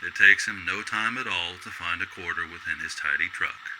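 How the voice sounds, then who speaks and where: Male radio-drama narrator: deep voice, measured literary storytelling, clear noise in the recording. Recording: loud background noise.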